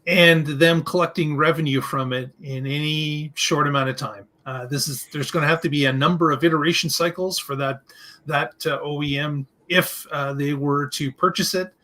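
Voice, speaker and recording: A man with a slightly higher-than-average voice talks as a podcast co-host. He phrases things deliberately and haltingly, in the way of unscripted discussion commentary. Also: steady tone, bright, forceful tone.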